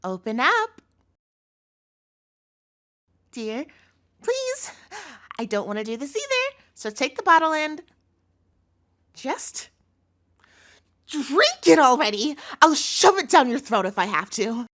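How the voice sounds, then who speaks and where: A woman's voice: sits high, sing-song, a little slow, long pauses, a bit bright, full character voice. A woman playing an obsessive chef girlfriend in a scripted audio roleplay, sugary and coaxing with a threatening edge, speaking intimately to the listener. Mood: forceful, exasperated. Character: obsessive yandere chef girlfriend, sweet but menacing.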